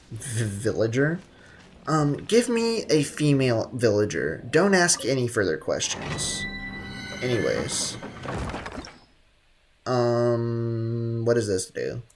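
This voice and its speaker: A man's voice: medium pitch, a little hiss or hum. A man live streaming a video game, chatting casually with viewers in a slangy, joking, rambling way.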